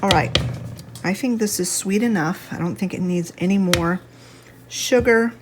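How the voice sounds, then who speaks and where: A woman with a slightly stuffy, congested voice, giving a casual, chatty home cooking demonstration at an unhurried, thinking-aloud gait. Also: fairly low pitch, music in the background. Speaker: home cook, video host. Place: home kitchen.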